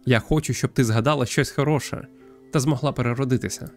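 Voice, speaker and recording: A man voicing a kind, earnest girl spirit in a visual novel, gently coaxing as she offers help. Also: medium pitch, fast talker, faint music behind, natural voice.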